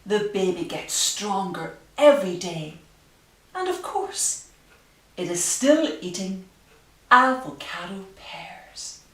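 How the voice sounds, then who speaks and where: Slow, animated woman storyteller in a roomy space. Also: mid-range voice. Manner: dramatic emphasis, drawn-out key phrases. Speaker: storyteller reading a picture book to children. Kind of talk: expressive read-aloud children's storytelling. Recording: roomy echo, distant microphone.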